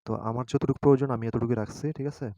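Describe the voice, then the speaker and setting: Man, dark soft-edged tone, dry sound, informal tutorial host, hesitant step-by-step delivery, frequent check-ins. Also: mid-range voice, flat delivery, no echo. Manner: hesitant, halting, often seeking agreement.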